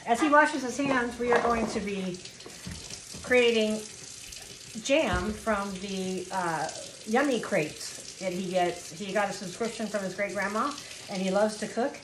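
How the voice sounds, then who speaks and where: A woman's voice: medium pitch, measured pace. Woman coaching a kid cook, echoey kitchen. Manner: patient, coaching and explaining step by step. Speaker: family member filming and guiding a child cook. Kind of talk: casual instructional home cooking commentary. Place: home kitchen, indoors. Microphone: phone camera held by the speaker off screen. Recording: echoey kitchen with clear background noise.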